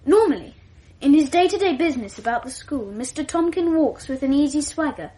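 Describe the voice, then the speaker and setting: A woman's voice: sits high, lively intonation, a little fast, mellow, rough recording. A woman playing a clever, self-assured twelve-year-old English schoolboy who talks in a precocious, wordy, self-deprecating way, in a radio drama. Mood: reading aloud. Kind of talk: 1980s radio drama acting.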